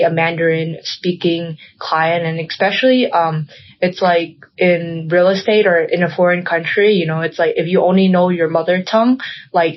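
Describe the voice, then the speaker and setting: A woman's voice: lowish voice, dull audio. Young woman rambling with lots of fillers. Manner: rambling, heavy use of "like" and "um". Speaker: podcast guest. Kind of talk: casual chat.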